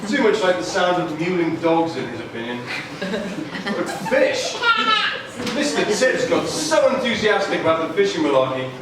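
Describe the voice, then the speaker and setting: A man's voice: high voice, sing-song, normal speed. A man narrating a comic scripted story at a live puppet show. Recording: echoey noisy hall, recorded from far off.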